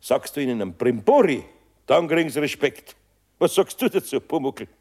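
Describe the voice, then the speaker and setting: An actor playing a gruff but good-natured older master craftsman with a Bavarian accent and a husky voice, in a children's radio play. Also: medium pitch, lively intonation, normal speed, darkish tone. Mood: amused, musing. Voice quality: gravelly, husky.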